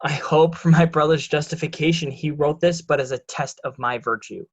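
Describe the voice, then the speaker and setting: A man playing a scheming, resentful illegitimate son in a deliberate, declamatory Shakespearean drama reading. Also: fairly high pitch. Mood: feigned reluctance. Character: scheming, resentful bastard son, smooth deceiver.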